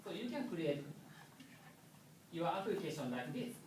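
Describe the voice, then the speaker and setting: A Japanese man with a Japanese accent walks an audience through a coding demo, his English halting and full of pauses and restarts. He speaks slowly, at an unhurried pace. His voice comes from a distance in an echoey lecture room with faint background noise. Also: fairly high pitch, big pitch swings. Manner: halting, many filler pauses and restarts, simple phrasing. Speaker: conference presenter. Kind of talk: technical presentation.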